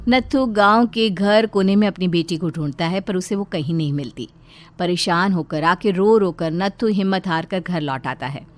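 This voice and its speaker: A woman narrating a cartoon story in a steady, even way. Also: lowish voice, normal speed.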